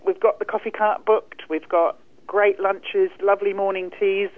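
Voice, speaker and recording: A woman's voice: medium pitch, flat delivery, moderate pace, no echo. A chatty New woman speaks down a tinny, narrow-band phone line. The sound is dry and boxy. She talks in an upbeat, self-mocking way, like an organiser promoting her event. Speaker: bridge event organiser calling in. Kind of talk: cheerful phone interview. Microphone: telephone.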